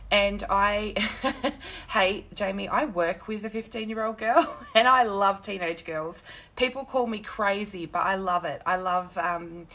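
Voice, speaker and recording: A young woman with a croaky, sore-throated voice, chatting casually with viewers while she hosts a crafting live stream from her desk at home, laughing often and greeting commenters by name. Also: mid-range voice, fairly bright tone. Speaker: paper-craft demonstrator hosting a live stream. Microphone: phone camera.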